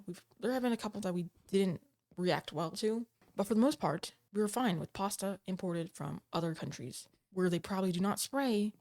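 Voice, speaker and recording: A woman with a slightly low voice, a little off from a cold, talks straight to camera from home. She rambles in a casual vlog style, hesitating, restarting and padding her sentences with fillers. Her voice is picked up by a desk microphone. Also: a little mellow. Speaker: gaming channel host. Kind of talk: casual talking-to-camera update.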